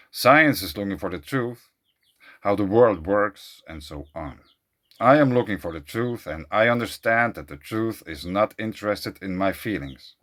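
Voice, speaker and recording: A man whose first language is German, speaking English in an earnest, questioning monologue to camera, often trailing off quietly. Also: lowish voice. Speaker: video creator. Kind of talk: casual monologue to camera, reading out an email.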